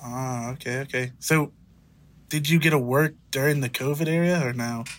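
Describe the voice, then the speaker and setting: A man hosting a podcast interview, upbeat and quick with short affirmations. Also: medium pitch. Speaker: podcast host. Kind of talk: casual interview.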